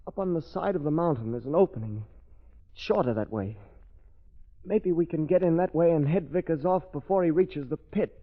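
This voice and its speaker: An actor playing an earnest, brave young fiancé in a 1930s radio drama, with an anxious, melodramatic delivery. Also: male voice, fairly high pitch, moderate pace, natural voice. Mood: anxious, determined. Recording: dull old 1930s radio recording.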